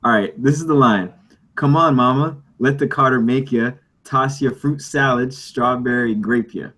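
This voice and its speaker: Medium-pitched man bantering on a podcast. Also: normal speed, a bit bright. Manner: colloquial, repeats phrases for emphasis. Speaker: podcast co-host. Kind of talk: casual banter.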